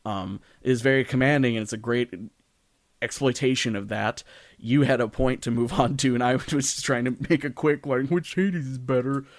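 A man's voice: mid-range voice, moderate pace, dry recording. A man co-hosting a podcast, talking casually in a hesitant, rambling and occasionally sweary way. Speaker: podcast co-host. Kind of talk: casual discussion.

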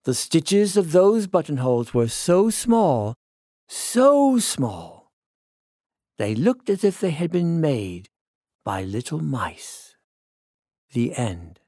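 Man voicing an animated English storybook narrator. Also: fairly high pitch, dry recording, studio quality, exaggerated voice. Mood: contented, admiring. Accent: British, put on. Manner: theatrical, savouring, drawn-out emphasis. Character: old-fashioned English storybook narrator, gentle and full of wonder. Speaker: storyteller reading a children's tale. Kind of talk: expressive classic storybook narration.

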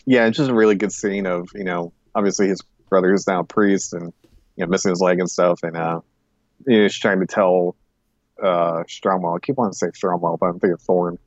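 A man's voice: sits low, fairly even intonation, a little fast, no room sound, muffled recording. A man co-hosting a podcast in a casual review chat, rambling, hesitant and often correcting himself. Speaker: podcast co-host.

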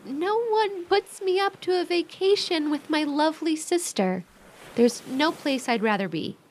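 A protective young American big sister, acted by a woman in a modern audio drama. Her voice sits high and swings animatedly, quick to flare up and full of devotion. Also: lively intonation, slight background noise. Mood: earnest, worried.

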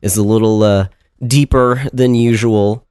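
A man with a cold talks in a low, stuffy, nasal voice. He co-hosts a relaxed podcast and wanders through his points with fillers and restarts. The sound is dry and close, with no room around it. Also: unhurried, clear, resonant tone, no echo. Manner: rambling, self-deprecating, many fillers and restarts. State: has a cold. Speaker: podcast co-host. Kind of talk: casual chat.

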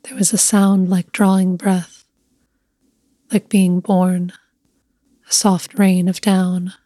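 A woman reading a scripted literary story in a measured, hushed, deliberate way. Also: fairly low pitch, little pitch movement, dry recording, clean studio sound. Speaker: story narrator.

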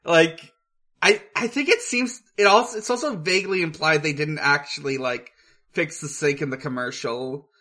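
A podcast co-host recapping a film casually, in a hesitant, halting way with searching pauses. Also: mid-range voice, bright, forceful tone.

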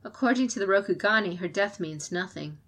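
A woman's voice: medium pitch, expressive, brisk, a bit bright, breathy edge. A woman reading the lines of a proud, dutiful female clan champion in an audiobook. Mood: firm.

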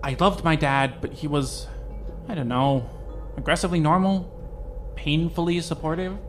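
Man playing a halting, anxious young man. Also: a little high, fairly even intonation, soft background music, natural voice. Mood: reflective. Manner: halting, rambling, self-questioning. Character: anxious young man facing his identity. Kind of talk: fan audio drama acting.

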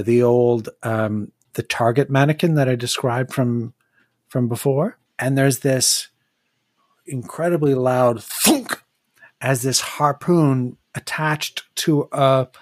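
Tabletop game narrator, game master, measured slow delivery, descriptive, thoughtful gaps, close dry sound. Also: medium pitch, no room sound.

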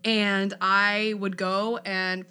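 A woman's voice: medium pitch, level tone, very slow, sharp tone, smooth. A young adult woman co-hosting a podcast, talking in a casual, reflective way, searching for words as she thinks. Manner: thoughtful, searching, many fillers. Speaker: podcast co-host.

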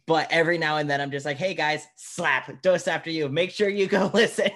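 A man's voice: fairly high pitch, steady tone, fairly quick, fairly bright tone. A man hosting a podcast interview, chatting informally and with enthusiasm. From United States. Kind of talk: casual chat.

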